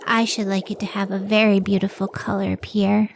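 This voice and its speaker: A woman playing a devoted, idealistic scientist in an old-time radio drama, speaking earnestly and with measured care. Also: medium pitch, flat delivery, gravelly, loud music behind the voice, close to an everyday voice. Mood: tender wonder.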